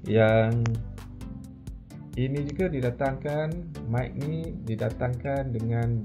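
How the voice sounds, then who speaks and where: A man's voice: medium pitch, takes it slowly, music in the background. A man in his forties with a Malaysian accent, explaining a product informally and hesitantly, mixing in English terms. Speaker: product reviewer. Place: medium-sized indoor office.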